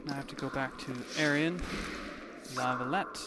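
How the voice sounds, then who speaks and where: A man chats through a video game in a medium-pitched voice. He rambles hesitantly with wry asides. The background noise is very loud. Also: normal speed. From Canada. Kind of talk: casual gameplay commentary. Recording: very noisy game sound behind commentary.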